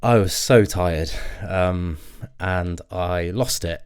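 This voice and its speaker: An anxious, worn-out young dad in a naturalistic audio play. His voice is low and slow, and he talks haltingly, with nervous little laughs and throat clearing. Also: a little mellow. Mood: weary, exasperated. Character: weary, anxious new father in therapy. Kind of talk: naturalistic audio drama acting.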